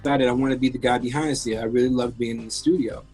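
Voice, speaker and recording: A man's voice: mid-range voice, steady tone, rapid-fire, darkish tone, soft background music. A man in his fifties, born in France, telling his career story as a guest in a casual interview, candid and rambling with many restarts. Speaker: guest, music engineer.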